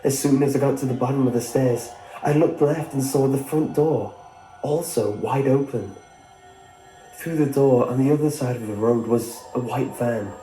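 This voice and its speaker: Male storyteller for scripted horror narration, roomy reverberant sound, music clearly underneath. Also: medium pitch, moderate pace, warm tone. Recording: echoey, clear music behind.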